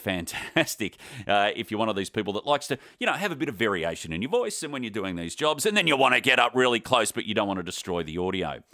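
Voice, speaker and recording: A man with an Australian accent presenting an enthusiastic microphone review at his home studio desk, talking into a condenser on a boom arm. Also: bright, forceful tone. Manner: enthusiastic, upbeat presenter delivery. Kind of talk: product review demo. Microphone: USB condenser on boom arm with pop screen.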